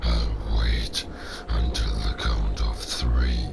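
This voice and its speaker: Monstrously deep, gravelly werewolf. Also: male voice, flat delivery, exaggerated voice. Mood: menacing. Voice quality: very gravelly, growling, breathy half-whisper. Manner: slow, deliberate, formal. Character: monstrous male werewolf, menacing, coldly patient predator. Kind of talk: cinematic audio drama acting. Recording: loud cinematic music behind.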